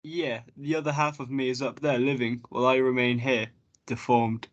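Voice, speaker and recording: Male actor as mournful, resentful deformed scrap, mid-pitched, amateur read, dull recording. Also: level tone, normal speed, natural voice. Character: sorrowful, deformed half sheet of paper, Crisscross. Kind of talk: amateur table read over a group call. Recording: dry, dull group-call audio.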